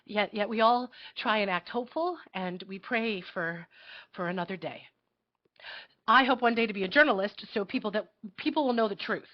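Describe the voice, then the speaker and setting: A woman playing an earnest, fearful, idealistic young girl in a live table read of a satirical play, with a halting, pleading delivery. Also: mid-range voice, natural voice. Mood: anxious, hopeful. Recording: dull lo-fi audio.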